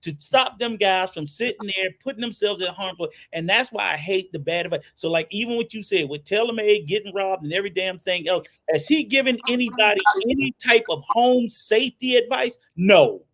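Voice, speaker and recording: A man's voice: high voice, expressive, fairly quick, few pauses, fairly bright tone. A man hosting a casual discussion, blunt and profane, often backing the others with "mm-hmm". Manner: blunt, swears, lots of "mm-hmm" agreement. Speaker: host.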